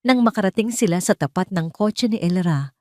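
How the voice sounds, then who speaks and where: A woman's voice: medium pitch, a little fast, darkish tone, no echo, clean studio sound. A woman reading a scripted dramatic story as its narrator.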